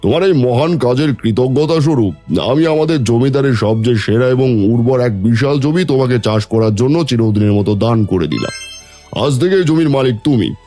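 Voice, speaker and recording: A man voicing a dignified, grateful landlord in an animated melodrama, solemn and formal. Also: mid-range voice, natural voice. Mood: generous, formal.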